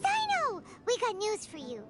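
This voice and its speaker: A tiny fairy sidekick for an anime game, feisty and childlike. The voice is extremely high and squeaky, leaping about under loud noise. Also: female voice, huge pitch swings, measured pace, heavily acted. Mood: earnest. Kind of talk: anime video game voice acting.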